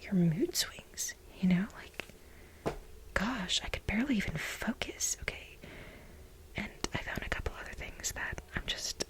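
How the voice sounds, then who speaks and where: A woman playing a clingy, unhinged millennial office assistant in a breathy, halting, soft-spoken ASMR role play. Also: mid-range voice, animated, natural voice, rough recording. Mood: indignant, entitled. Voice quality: breathy, whispery, creaky. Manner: halting, dramatic, self-justifying.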